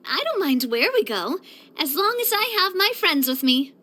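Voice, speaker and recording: Bubbly young game girl boss, super high bright voice, playful n kinda grand, affectionate. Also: sing-song, normal speed, full character voice. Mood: breezy, fond. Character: spirited young woman, proud boss, playful, affectionate, upbeat. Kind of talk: scripted video game character voice lines.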